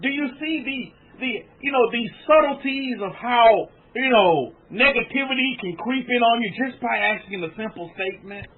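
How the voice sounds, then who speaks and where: Preacher, male, high and husky, repetitive exhorting sermon delivery, tinny phone-line sound. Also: lively intonation, moderate pace, few pauses. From United States. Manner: exhorting, repetitive, addresses listeners as family.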